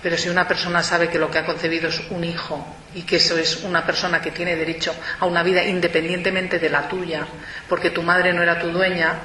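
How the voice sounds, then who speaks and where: A man's voice: high voice, pushed and bright, a little hiss or hum. A man arguing firmly and insistently in a studio debate. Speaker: debate participant. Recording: echoey room from far off.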